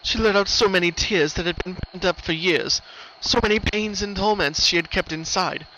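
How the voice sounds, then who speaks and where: A man's voice: high-pitched, moderate pace, pushed and bright. A man narrating a scripted story in an earnest, theatrical way. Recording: dull lo-fi recording, background noise. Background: noise.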